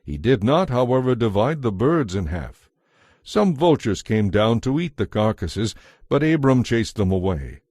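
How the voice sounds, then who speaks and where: A man narrating scripture from a script as a solemn, measured storyteller. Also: medium pitch, big pitch swings, a little mellow, no room sound, studio quality.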